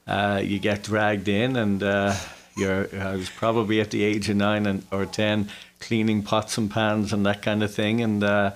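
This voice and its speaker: A man in his forties with a Dublin Irish accent, recounting his own story casually as an interview guest, with hesitant pauses. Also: on the low side, completely flat delivery, a little hiss or hum. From Dublin, Ireland. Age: 40s. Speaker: interview guest, business owner.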